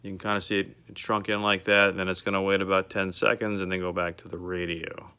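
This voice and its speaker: A man's voice: sits low, flat delivery, fast talker, bright, forceful tone, slight background noise. A man giving an informal, step-by-step product demonstration to a customer, explaining how a piece of equipment works. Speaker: car radio restorer demonstrating a converted radio.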